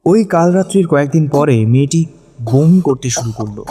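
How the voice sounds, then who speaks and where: A man's voice: a little high, animated, brisk, warm tone. A man narrating a scripted dramatic audio story. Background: background music.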